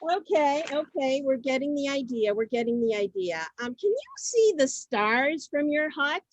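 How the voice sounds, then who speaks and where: A woman with a high voice moderates a community show on a video call. She is flustered and halting, repeats herself, and sounds close and dry. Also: lively intonation, no room sound. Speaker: host of a community reader's theater show. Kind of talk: semi-scripted moderating.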